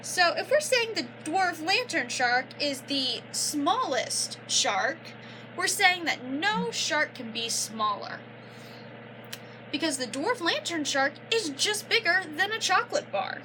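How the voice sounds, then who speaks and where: A young woman reading a children's picture book aloud like a teacher, pausing to explain and prompt with questions. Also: very high-pitched, big pitch swings, sharp tone, a little hiss or hum, poor audio. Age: young adult. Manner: instructive, prompting listeners with questions and affirmations.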